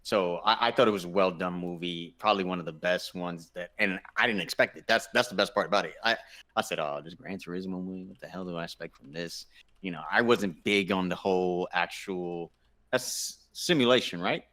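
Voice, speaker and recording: A man in casual discussion as a panelist, effusive and often halting to rephrase himself. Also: deep voice, pushed and bright. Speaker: gaming show panelist. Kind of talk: casual chat, movie discussion.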